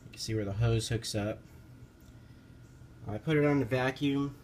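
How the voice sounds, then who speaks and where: Halting man with noise behind him. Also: medium pitch, a little mellow. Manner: halting, hesitant, informal. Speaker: amateur car repair video maker. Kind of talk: casual hands-on repair walkthrough. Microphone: handheld camera held over the engine. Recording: noticeable background noise, amateur handheld camera.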